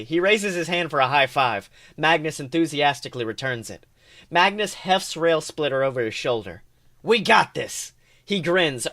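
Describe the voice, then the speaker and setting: A man with a fairly high voice reads fan fiction aloud as a podcast host. His narration is expressive.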